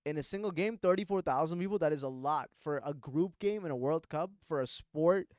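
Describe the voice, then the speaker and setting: A man in his twenties from Pakistan, chatting on a podcast in an animated, emphatic way with slangy asides. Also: a little high, brisk, no room sound, studio quality. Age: 20s. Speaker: podcast co-host. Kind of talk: casual chat.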